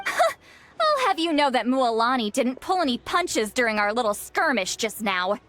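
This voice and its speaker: An actress voicing a feisty, teasing old granny in a video game. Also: female voice, sits high, very animated, a little slow, fairly bright tone, full character voice. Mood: mock indignant.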